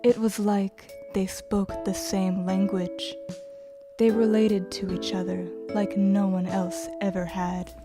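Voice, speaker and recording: A woman's voice: mid-range voice, level tone, slow pace, music in the background, lightly acted. A woman narrating a scripted audio drama.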